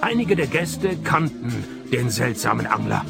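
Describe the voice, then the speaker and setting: A man's voice: medium pitch, measured pace, vocal fry, over music. An actor playing a sarcastic male private detective in a radio play. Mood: dry narrating. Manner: dry, deadpan, sarcastic. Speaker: lead actor and narrator.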